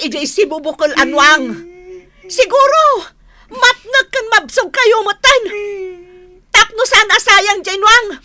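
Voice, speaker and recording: An exasperated farmer's wife with an Ilocano accent. Her voice is high and bright, and she nags dramatically in radio drama style. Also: heavily acted. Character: worried, nagging wife of a drunkard farmer.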